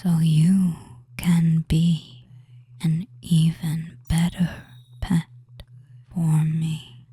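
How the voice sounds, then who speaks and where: A person whispering a soothing hypnosis roleplay in ASMR style as a doting, controlling fantasy witch, with drawn-out phrases and pauses. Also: medium pitch, flat delivery, mellow. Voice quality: breathy, mostly unvoiced whisper.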